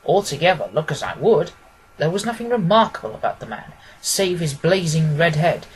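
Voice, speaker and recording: A man's voice: a little high, sing-song, moderate pace, slight background noise, low-quality recording. A man reading classic fiction aloud as scripted literary narration. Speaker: audiobook reader.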